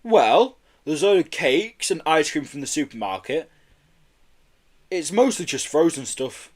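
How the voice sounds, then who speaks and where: A man's voice: a little high, animated, a little slow, voice pushed up. A man voicing an easygoing, often puzzled lorry-driving dad in a children's story, hearty and casual.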